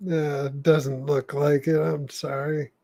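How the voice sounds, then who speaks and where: A man with a somewhat high voice speaks as a podcast host, chatting casually. The sound is dry and close, with little room to it. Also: level tone, slow pace, gravelly, warm tone, no room sound. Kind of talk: casual sign-off and chat.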